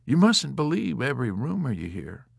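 Guy doing pompous stubborn airman for an audiobook, pitched up, very lively, dry sound. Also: moderate pace, vocal fry, no echo, heavily acted. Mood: self-important. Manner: self-important, stubborn, drawling when smug. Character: stubborn, self-important airman, smug and easily alarmed.

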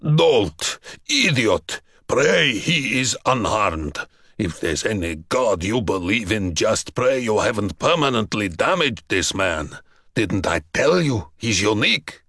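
A domineering old general barks and growls at his men, as a male narrator voices him. His voice sits low and moves at a slow, heavy pace. Each word lands with scornful authority in a dry, close audiobook recording. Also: sing-song, fairly bright tone, dry recording, full character voice. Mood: furious. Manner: growling, snapping.

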